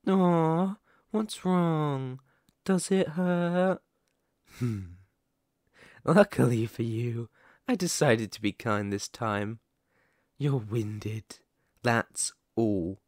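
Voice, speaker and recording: A man voicing a sadistic, possessive werewolf captor in an ASMR roleplay, speaking in a deliberate, condescending, threatening way. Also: medium pitch, a little mellow, no echo, clean studio sound. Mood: mock-tender.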